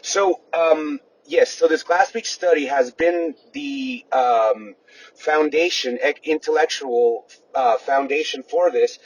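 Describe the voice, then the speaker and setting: Husky man making an earnest phone appeal. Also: on the high side. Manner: earnest, halting with frequent self-corrections. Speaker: activist caller. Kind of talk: persuasive phone advocacy. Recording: dull lo-fi phone call recording.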